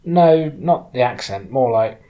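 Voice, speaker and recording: A man's voice: medium pitch, lively intonation, unhurried, warm tone, pitched above the performer's own voice, close to an everyday voice. A man voicing a guarded, awkward girl from South London in a storybook, hesitant and terse. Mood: awkward, reluctant.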